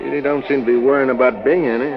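An actor playing a cool, take-charge American trucker in old radio drama style, clipped and wry. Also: male voice, medium pitch, steady tone, quick pace, muffled recording, crowd noise, natural voice. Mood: steady, urging. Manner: clipped, matter-of-fact, wry. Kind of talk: 1940s-50s radio drama acting. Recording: noisy vintage radio recording.

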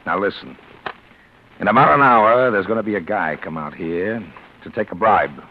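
An actor playing a bossy, shady American crook in vintage radio drama, brusque and full of gangster slang. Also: male voice, fairly low pitch, moderate pace, close to an everyday voice. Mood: conspiratorial. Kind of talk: 1940s-50s radio comedy-adventure acting. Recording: dull old radio recording, faint noise.

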